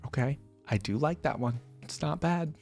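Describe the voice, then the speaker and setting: A man's voice: fairly high pitch, expressive, moderate pace, darkish tone, soft background music. A man hosting a reaction video, giving casual, slangy, off-the-cuff commentary. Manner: informal, slangy.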